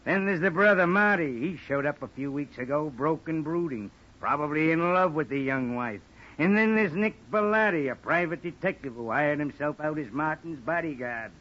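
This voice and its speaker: A talkative American police lieutenant, nasal and forceful, pitched a little high. He gossips drily in a radio noir drama on a dull old recording. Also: expressive, normal speed. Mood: dry, gossipy. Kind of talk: old-time radio detective drama acting.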